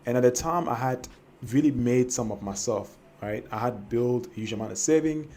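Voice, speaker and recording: A man's voice: medium pitch, moderate pace, darkish tone. A young man from Cameroon telling his personal trading story to camera, hesitant and full of fillers and restarts. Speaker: trading vlogger.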